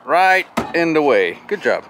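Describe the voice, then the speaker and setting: A man's voice: fairly high pitch, sing-song, measured pace. Man chatting over noisy outdoor work. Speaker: vlogger working on machinery. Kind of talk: casual chat while doing hands-on work. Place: outdoor gravel yard beside a metal shop building. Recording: noisy outdoor camera audio, machinery hum.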